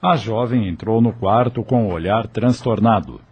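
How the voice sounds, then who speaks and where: A man's voice: lowish voice, moderate pace, husky, dull audio. A man narrating an audio novel in a scripted storytelling style.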